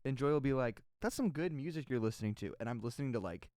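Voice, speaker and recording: A young man co-hosting a podcast, excitable and full of slang. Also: mid-range voice, quick pace, dry recording, clean studio sound. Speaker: podcast co-host. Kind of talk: casual chat.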